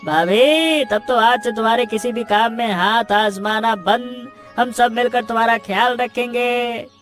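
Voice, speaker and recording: A woman's voice: fairly high pitch, measured pace, soft background music, close to an everyday voice. A woman voicing a cheerful, caring younger relative in a Hindi cartoon family drama.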